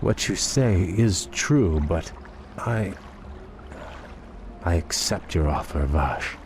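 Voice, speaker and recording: An actor playing a proud, troubled young elf prince in a fantasy game, speaking formally and earnestly. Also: male voice, low voice, lively intonation, takes it slowly, a little mellow, slight background noise, exaggerated voice. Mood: solemn. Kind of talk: fantasy game cutscene dialogue. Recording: dramatic music behind.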